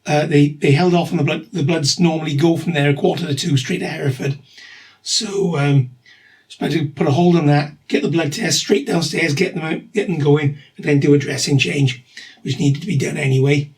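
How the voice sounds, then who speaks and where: Vlogger, middle-aged man, husky with a slight cough, rambling and matter-of-fact, voice sits a touch high. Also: fairly even intonation, normal speed, a little mellow. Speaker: vlogger documenting his cancer treatment. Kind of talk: casual video diary.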